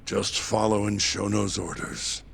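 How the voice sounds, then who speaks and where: An actor playing a cynical, tough underworld henchman in a video game, nonchalant and gravelly. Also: on the low side, flat delivery, measured pace, a bit bright, heavily acted. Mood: cynical, unbothered. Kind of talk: English video game cutscene acting.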